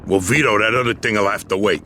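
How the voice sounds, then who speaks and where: An actor playing a bullying, callous mob boss in a video game, speaking blunt, coarse street talk with an American accent. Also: male voice, fairly low pitch, brisk, bright, forceful tone, a little hiss or hum. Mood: brisk, scheming. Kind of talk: video game cutscene acting.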